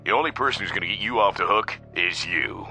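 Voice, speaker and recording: An actor playing a gruff, sarcastic American policeman in a hardboiled radio drama, mocking and wisecracking as he talks. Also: on the low side, expressive, fast, bright, forceful tone, soft background music, full character voice. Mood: blunt, sardonic.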